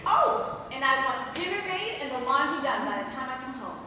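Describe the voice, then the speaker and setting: A bossy, self-assured wife acted by a young woman on stage, high-voiced with lively swings. She sounds far from the mic in a big reverberant hall. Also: normal speed. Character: bossy, self-assured wife who rules her husband. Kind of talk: high school stage comedy acting. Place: school theater stage, recorded from afar. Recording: noisy echoey hall, distant mic.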